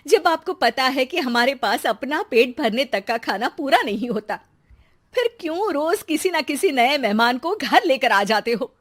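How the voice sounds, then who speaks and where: A clever young cartoon housewife, as a woman plays her, sweet on the surface and scheming underneath. Her voice is pitched high and leaps around with bright, sing-song rises. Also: lively intonation, normal speed. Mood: exasperated. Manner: pleading, then sweetly sly. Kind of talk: cartoon voice acting.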